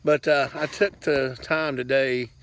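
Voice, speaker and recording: A man's voice: medium pitch, fairly even intonation, a little slow. A man gives a casual, rambling update to viewers in a folksy, plainspoken way, speaking outdoors into a handheld camera. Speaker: farm vlogger. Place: outdoors on a farm.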